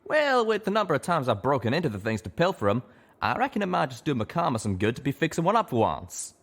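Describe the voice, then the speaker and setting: A man's voice: mid-range voice, big pitch swings, fast, creaky, a bit bright, voice pushed up, exaggerated voice. A man voicing a cheerful tinkering cowboy pegasus with a folksy drawl and rustic slang, in a storybook reading. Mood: cheerful, wheeling.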